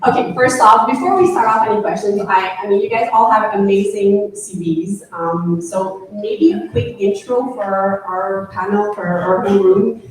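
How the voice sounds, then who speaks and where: A woman hosts a live panel, warm in manner and dotting her words with ums. She sounds far from the microphone in a very echoey event room, with a faint hiss underneath. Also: medium pitch, lively intonation, normal speed, hardly any pauses. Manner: friendly, with frequent ums. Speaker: panel moderator. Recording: echoey room, distant mic, faint noise.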